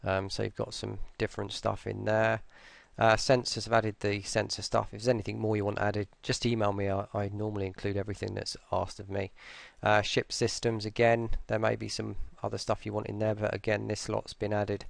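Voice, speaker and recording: Low, creaky, tired man. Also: completely flat delivery, brisk, hardly any pauses, no room sound. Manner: hesitant, rambling, low-key. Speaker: voice pack creator presenting an update. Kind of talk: casual, unscripted software walkthrough.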